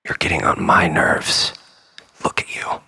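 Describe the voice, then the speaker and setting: A man playing a bitter, manic villain in a comic dramatic monologue, taunting theatrically in a breathy rasp. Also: a little slow, sharp tone, whispered. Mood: seething. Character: unhinged gloating male villain, bitter and manic.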